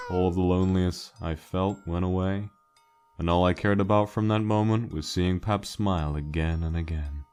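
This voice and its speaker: A man voicing a weary, guilt-laden skeleton joker in a dramatic fan comic dub, telling his story in a halting, wistful way. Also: low-pitched, fairly even intonation, a little mellow. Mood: wistful, reflective.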